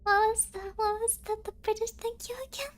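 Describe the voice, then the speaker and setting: A woman speaks in a tiny, childlike voice pitched extremely high. She chats like a cutesy livestreamer, halting and excitable, hopping between languages as she thanks her viewers. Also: slight background noise. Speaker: VTuber streamer thanking donors. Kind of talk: cutesy livestream chat with donation thank-yous.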